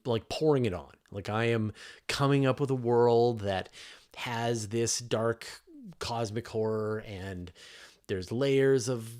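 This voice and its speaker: A man's voice: medium pitch, a little mellow. A middle-aged man hosting a casual question-and-answer stream, explaining science off the cuff in an emphatic, conversational way. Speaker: host answering live audience questions on space and astronomy.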